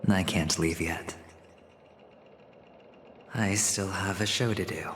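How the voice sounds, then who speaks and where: Wry, weary young gambler, deep. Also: male voice, slow, long reverb tail, a little hiss or hum. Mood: gentle. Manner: wry, gambling-talk, quietly resigned. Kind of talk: game cutscene voice acting.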